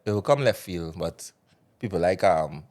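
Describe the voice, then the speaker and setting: Trinidadian man, low register, laid-back and rambling; interview guest. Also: moderate pace. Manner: relaxed, rambling, Trinidadian Creole grammar. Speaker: guest, soca artist. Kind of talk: casual interview chat.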